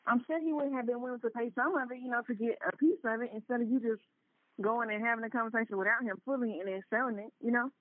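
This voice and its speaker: A woman's voice: fairly high pitch, quick pace, no room sound. A woman in casual conversation over the phone, talking things through and questioning. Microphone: phone line. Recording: narrow, tinny phone line.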